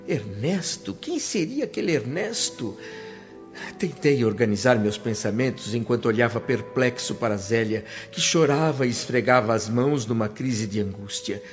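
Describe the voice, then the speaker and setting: Actor as a wistful spirit narrator over music. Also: male voice, medium pitch, animated, normal speed, lightly acted. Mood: stunned, anguished. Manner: dramatic, emotive, literary phrasing. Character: sorrowful male spirit returning home, wistful and earnest. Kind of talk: first-person radio drama narration. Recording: dull lo-fi sound under music.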